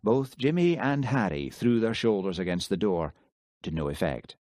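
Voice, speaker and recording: A man narrating an audiobook in a steady, measured storytelling manner. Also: lowish voice, no room sound, clean studio sound. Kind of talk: scripted narration.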